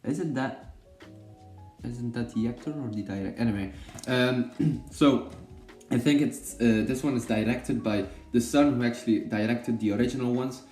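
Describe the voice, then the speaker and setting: A man's voice: mid-range voice, moderate pace, a little mellow, reverberant, faint music behind. A young Belgian man whose first language is Dutch, chatting casually about films with lots of fillers, restarts and jokes. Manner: rambling, many fillers and self-corrections, joking. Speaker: film vlogger.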